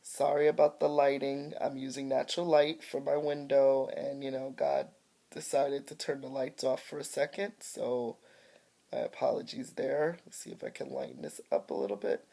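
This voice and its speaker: Woman, low voice, mellow tone, slightly congested, chatty and grateful, home video. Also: normal speed, creaky. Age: middle-aged. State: chest congestion from allergies. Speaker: artist and vlogger. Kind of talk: casual home video update. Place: at home by a window. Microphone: phone or webcam camera mic.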